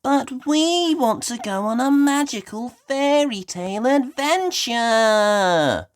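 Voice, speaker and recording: Storybook little girl pig, female reader performing: cheerful, imaginative, sing-song, high-pitched and slow. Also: expressive. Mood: eager. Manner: eager, sing-song, drawn-out delivery. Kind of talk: read-aloud children's storybook voice.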